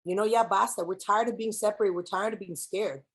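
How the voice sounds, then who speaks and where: Woman keynote speaker, young adult, lively, code-switching, questions the crowd, mid pitch. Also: fairly quick, husky. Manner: lively, mixes English and Spanish, asks audience questions. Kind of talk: speech to students with spoken-word poem.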